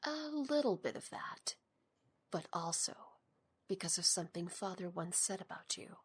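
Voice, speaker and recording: A woman playing a cool, self-assured young heiress with a breathy voice and wry delivery in an audio drama. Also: fairly low pitch, animated, normal speed. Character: cool, confident young heiress, slyly confrontational.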